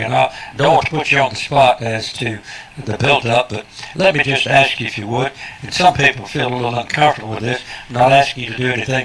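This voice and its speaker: A man's voice: medium pitch, fairly bright tone, a little hiss or hum, poor audio. A man hosting an interview programme, speaking in a formal, emphatic, preacherly way with addresses to his listeners. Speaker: host interviewer. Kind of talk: broadcast interview with formal introductions.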